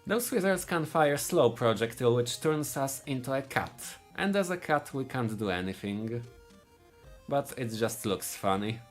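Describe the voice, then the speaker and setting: A man's voice: mid-range voice, expressive, faint music behind. Polish-accented man narrating a speedrun. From Poland. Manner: halting, searching for words, frequent restarts. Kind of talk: live speedrun commentary.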